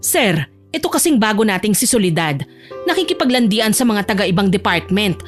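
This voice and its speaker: A woman's voice: medium pitch, expressive, normal speed, soft background music, close to an everyday voice. A woman voicing a harsh middle-aged female supervisor in an audio drama, nagging and belittling with sarcastic scorn. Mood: indignant, tattling.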